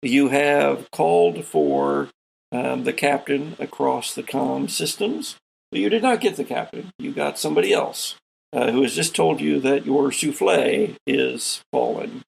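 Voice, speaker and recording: A man hosting and narrating a tabletop role-playing game as game master, with theatrical, deliberate pauses. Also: medium pitch, lively intonation, gravelly, breathy edge, rough recording.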